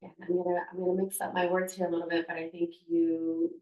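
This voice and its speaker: A woman in her thirties speaking in a reflective podcast conversation, soft-spoken and halting as she searches for her words. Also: fairly low pitch, flat delivery, far from the mic, lo-fi sound. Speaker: podcast guest. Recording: echoey room, off-mic.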